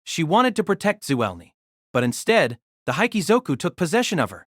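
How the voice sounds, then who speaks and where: Story narrator, scripted reading, animated intonation, close dry sound, audio drama. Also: medium pitch, brisk, no echo.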